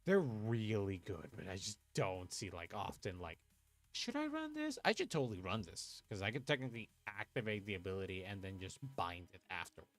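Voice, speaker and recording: A young man from Puerto Rico with a lowish voice chats casually through a card-game livestream. He thinks aloud, mutters and swears at his own plays. Faint music plays under his voice. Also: big pitch swings, a little fast. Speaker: streamer playing an online card game. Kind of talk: casual livestream chat and play commentary.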